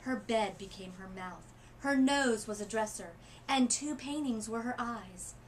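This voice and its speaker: A woman reading a children's story aloud expressively, with deliberate, dramatic phrasing. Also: on the high side. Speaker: literacy coach reading a picture book aloud. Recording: noisy room ambience.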